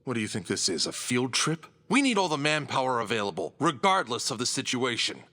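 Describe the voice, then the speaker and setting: A man acting a cold, commanding crime boss in an audio drama, measured and imperious. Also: mid-range voice, very animated, sharp tone. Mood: curt, commanding. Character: cold, commanding male former syndicate boss.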